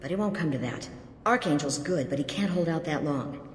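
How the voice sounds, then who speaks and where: A worn-down mercenary lieutenant with a low voice. She talks frankly, with open resentment. The sound is roomy and reverberant, with faint noise behind. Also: animated, brisk. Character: female mercenary lieutenant, bitter, weary. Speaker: mercenary officer. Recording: echoey game mix.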